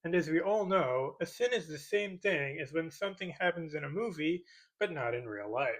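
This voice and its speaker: A man's voice: on the high side, expressive, normal speed, fairly bright tone, low-quality recording. A man delivering a scripted comedic rebuttal in a sarcastic, mock-serious way, as a YouTube commentator. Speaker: YouTube commentator parodying movie critique videos.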